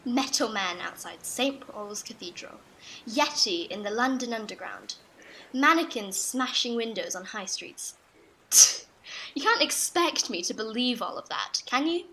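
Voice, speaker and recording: An actress playing a sceptical, haughty general in an audio drama, wry and authoritative. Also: female voice, a little high, expressive, unhurried, pushed and bright, slight background noise, heavily acted, rough recording.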